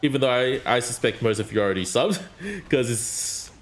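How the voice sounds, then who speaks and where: A man's voice: medium pitch, animated, moderate pace. A man streaming a mobile game, chatting casually and answering his chat in a rambling way. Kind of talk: casual live-stream chat while gaming.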